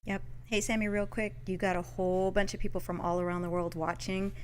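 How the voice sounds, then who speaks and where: A woman's voice: mid-range voice, little pitch movement, smooth. A woman co-hosting a livestream, cheerfully reading viewer locations from the chat. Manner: upbeat, reads out lists quickly. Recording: faint background noise.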